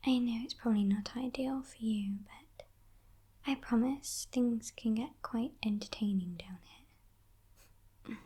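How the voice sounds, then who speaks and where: Slow whispering demon woman, acted out by a woman. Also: a little high, full character voice. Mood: coaxing, smug. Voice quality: breathy, mostly whispered. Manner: slow, teasing, condescending, softly threatening. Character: sly female demon kidnapper, haughty, coaxing, menacing. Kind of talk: whispered ASMR roleplay.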